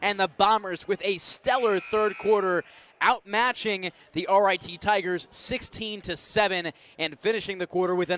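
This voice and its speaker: A young man giving an energetic, descriptive live play-by-play of a basketball game for radio. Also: high-pitched. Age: young adult. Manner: energetic, descriptive call of the action. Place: college basketball arena, live courtside call.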